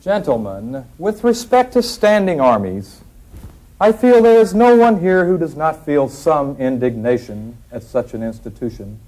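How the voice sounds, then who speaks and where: An actor playing a cautious eighteenth-century delegate, reasoning formally in a period drama. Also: male voice, on the high side, lively intonation, a little slow, darkish tone, poor audio. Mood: grave, reflective. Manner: formal, deliberating. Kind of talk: period stage-like debate acting.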